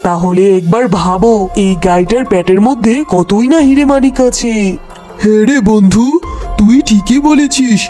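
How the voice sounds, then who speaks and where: A woman voicing a greedy, scheming male tiger in a cartoon, with a lowered voice and conspiratorial manner. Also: faint music behind, natural voice. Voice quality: lowered, dark voice. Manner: slow, conspiratorial. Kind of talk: cartoon villain dialogue.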